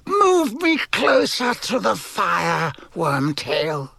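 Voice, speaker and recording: A man voicing a cold, high-pitched dark lord in an audiobook, imperious and menacing. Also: wildly swooping pitch, very slow, vocal fry, no echo, studio quality, full character voice. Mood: cold, weary. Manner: imperious, commanding, contemptuous.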